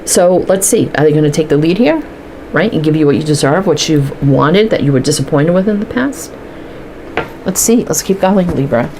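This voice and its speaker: Stuffy woman w/ a low voice doing a chatty tarot reading, faint hiss behind. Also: expressive, normal speed. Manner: chatty, thinks aloud while pulling cards. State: stuffy from allergies, sniffling. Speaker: tarot reader. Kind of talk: casual tarot card reading to camera.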